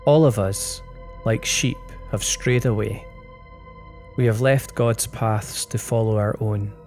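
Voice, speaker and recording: Man slowly reading scripture over music. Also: fairly low pitch, steady tone. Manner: measured, deliberate phrasing. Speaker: scripture reader. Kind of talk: slow, solemn Bible reading. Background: soft instrumental music.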